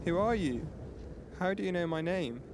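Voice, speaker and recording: A man's voice: sits high, lively intonation, moderate pace, background noise. A man playing a wary, troubled young boy in a radio drama, defensive and plaintive.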